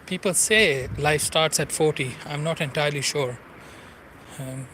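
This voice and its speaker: A man's voice: a little high, unhurried, sharp tone, a little hiss or hum. A man in his forties, not a native English speaker, narrating a riverside walk in a rambling way and often searching for words. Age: 40s. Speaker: walking vlogger. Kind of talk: casual walking commentary. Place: outdoors by a river.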